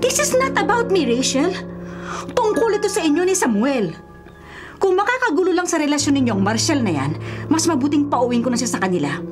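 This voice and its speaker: A woman's voice: sits high, big pitch swings, a little fast. An actress with a Filipino accent playing a stern, snobbish middle-aged rich mother, scolding imperiously in a radio drama. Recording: music bed under the voice.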